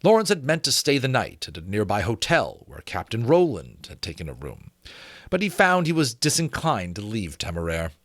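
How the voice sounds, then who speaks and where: A man's voice: lowish voice, huge pitch swings, normal speed, no echo. A man narrating a story expressively as a reader, with casual commentary.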